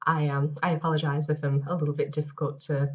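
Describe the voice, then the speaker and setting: Low-voiced woman lecturing with a cold, nasal and hoarse, lots of ums, dull lo-fi recording. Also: little pitch movement, fast talker. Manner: hesitant, many ums and restarts. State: head cold, nasal and losing her voice. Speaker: university lecturer.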